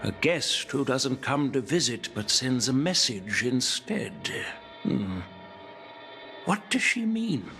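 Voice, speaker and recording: A man playing a sardonic old general in a video game, speaking in a deliberate, theatrical way over music. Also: medium pitch, big pitch swings, sharp tone, heavily acted. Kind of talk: scripted video game voice acting.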